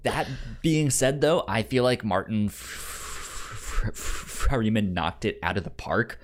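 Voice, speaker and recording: A man's voice: on the low side, lively intonation. A man co-hosting a film podcast in casual chat, stuttering over sounds and words and acting out lines he paraphrases. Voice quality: stutter, repeats sounds and words many times. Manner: halting, acts out paraphrased lines. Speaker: film review podcast co-host.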